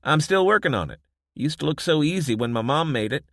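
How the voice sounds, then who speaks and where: A woman's voice: deep voice, lively intonation, fairly quick, dry recording, studio quality, pitched above the performer's own voice. A man voicing a cheerful, teasing woman who runs a small café kitchen. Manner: teasing, bantering. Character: cheerful sharp-tongued woman café cook.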